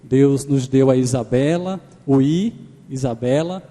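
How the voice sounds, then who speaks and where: A man's voice: medium pitch, unhurried, warm tone. Pernambuco-accented man preaching in a church. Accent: Pernambuco Portuguese mixed with Maranhão. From Pernambuco, Brazil. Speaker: guest preacher. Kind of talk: sermon. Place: large church sanctuary. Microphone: handheld microphone. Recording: church hall reverb.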